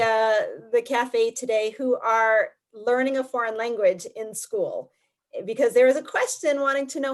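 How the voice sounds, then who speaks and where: Higher-pitched woman hosting a Q&A. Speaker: host of an online science presentation series. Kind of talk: informal moderating, relaying audience questions.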